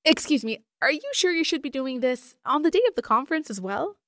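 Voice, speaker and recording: A woman's voice: sits high, lively intonation, fairly quick, a bit bright, dry recording, clean studio sound. A woman playing a polite, helpful schoolteacher with an obliging manner in an amateur audio drama. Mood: polite, puzzled. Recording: busy crowd noise behind.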